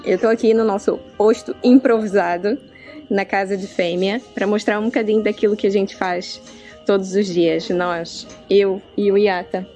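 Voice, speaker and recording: A woman's voice: mid-range voice, steady tone, moderate pace, dark tone, faint music behind. A woman from Brazil who speaks with a European Portuguese accent, a missionary making a welcoming video appeal for donations. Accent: European Portuguese, picked up abroad.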